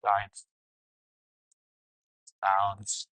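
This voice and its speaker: Low-voiced man guiding a meditation. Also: completely flat delivery, no room sound. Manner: gentle, halting delivery in short phrases with pauses. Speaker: meditation teacher, guide. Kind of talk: guided meditation, unscripted instruction.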